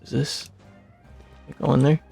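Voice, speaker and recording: A man's voice: measured pace, mellow, faint music behind. A man giving casual gameplay commentary, rambling and thinking aloud in a self-deprecating way. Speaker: streamer playing a video game.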